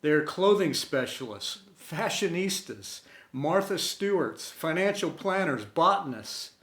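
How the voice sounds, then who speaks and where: Man, slightly high voice, unhurried, earnest storyteller, sentimental scripted delivery, dramatic pauses, roomy echo. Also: expressive, a bit bright. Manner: deliberate, dramatic pauses between short phrases. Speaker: storyteller and host of an inspirational series. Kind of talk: scripted inspirational tribute reading. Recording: roomy, echoey sound.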